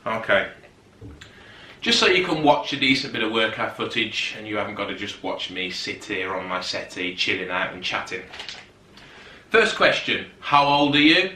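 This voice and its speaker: A man's voice: fairly low pitch, sing-song, normal speed, pushed and bright, reverberant, distant mic, a little hiss or hum. A man, chatting casually to camera from his settee and often rambling off topic as a fitness vlogger. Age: 30s. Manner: chatty, rambling, goes off on tangents. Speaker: fitness YouTuber. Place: sitting on a settee at home.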